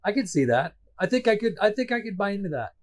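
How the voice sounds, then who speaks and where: A man's voice: high voice, sing-song, quick pace. A man co-hosting a casual podcast, self-deprecating and prone to repeating himself, laughing often. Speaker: podcast co-host. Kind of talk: casual chat.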